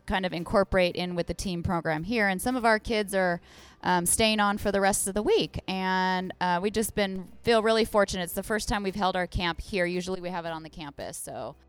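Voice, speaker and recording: Enthusiastic woman with a clear voice. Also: mid-range voice. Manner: enthusiastic, with many fillers. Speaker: outreach manager. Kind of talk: casual chat.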